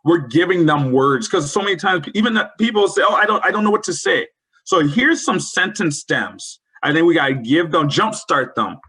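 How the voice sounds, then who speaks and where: A man leads an educator webinar with a bright, forward tone. His voice has a slightly husky edge, and he sits a little above the usual male pitch. He talks in an earnest, coaching way. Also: lively intonation, moderate pace, poor audio. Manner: earnest, coaching, quotes example phrases. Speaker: webinar presenter. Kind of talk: presenting a professional development webinar.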